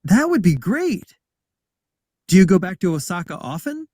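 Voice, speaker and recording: A man's voice: high-pitched, very animated, normal speed, darkish tone. A young man asking questions in a scripted conversation for English learners. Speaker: speaker in a recorded dialogue. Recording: distant with background music.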